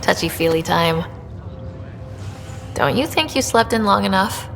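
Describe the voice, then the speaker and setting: A woman's voice: mid-range voice, animated, measured pace, gravelly, in a large hall, music in the background. An actress playing a resolute young woman who leads space explorers in a video game. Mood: fond, teasing. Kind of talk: video game dialogue acting.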